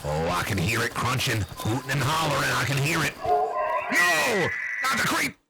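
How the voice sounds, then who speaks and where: A man's voice: mid-range voice, moderate pace, creaky, loud music behind the voice, low-quality recording. A man voicing a blustery, nervous backwoods uncle with an American accent, rambling and swearing in an improvised comic monologue. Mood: agitated, wary, then panicked. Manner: rambling, folksy, profane, excitable.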